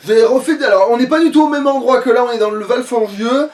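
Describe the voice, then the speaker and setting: A man's voice: very high-pitched, fast talker, darkish tone, far from the mic. A man playing a video game and chatting casually, muttering and swearing in crude slang as he jokes around. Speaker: let's play gamer.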